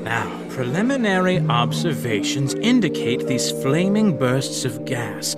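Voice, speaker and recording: Audio drama scientist, avuncular and fussy, very animated delivery, emphatic and excitable. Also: male voice, mid-range voice, unhurried, over loud music. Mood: lecturing. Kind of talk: theatrical audio drama acting.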